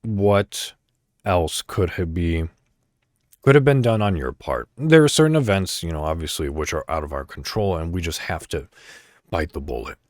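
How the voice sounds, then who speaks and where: Deep-voiced man with swooping, expressive intonation. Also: moderate pace, dry recording, clean studio sound. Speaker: podcast host. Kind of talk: reflective solo monologue addressed to listeners.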